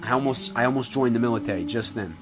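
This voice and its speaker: A man playing a crude, foul-mouthed old Italian-American mobster who belittles people and rambles with sudden loud outbursts. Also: medium pitch, quick pace, pitched below the performer's own voice, lightly acted. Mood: brooding. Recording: music playing clearly behind.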